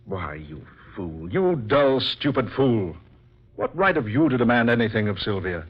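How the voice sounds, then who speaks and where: An actor playing a suave, mocking murderer and lover in a theatrical 1940s radio horror drama, smug and condescending. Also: male voice, on the low side, big pitch swings, moderate pace, husky. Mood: scornful. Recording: muffled vintage 1940s radio recording.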